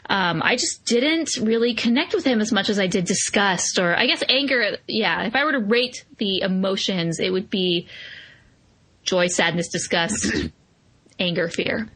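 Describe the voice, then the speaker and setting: A woman's voice: medium pitch, a little slow, fairly bright tone, low-quality recording. A woman podcast co-host in a casual analytical discussion, explaining her opinions reflectively. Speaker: podcast co-host reviewing films.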